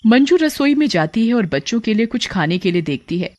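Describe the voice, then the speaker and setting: A woman reading a scripted cartoon story as narrator, in a plain, measured storytelling manner. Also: fairly high pitch, very animated, a little mellow, no room sound, dull audio.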